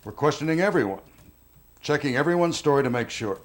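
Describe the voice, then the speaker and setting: An actor playing a police detective who presses suspects with measured, probing questions in a naturalistic film drama. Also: male voice, fairly high pitch, expressive, close to an everyday voice. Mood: matter-of-fact.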